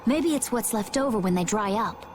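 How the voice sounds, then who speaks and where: A woman's voice: on the high side, animated, moderate pace, slight background noise, lightly acted. A woman voicing a composed, perceptive young woman adventurer in an anime video game dub. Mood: thoughtful.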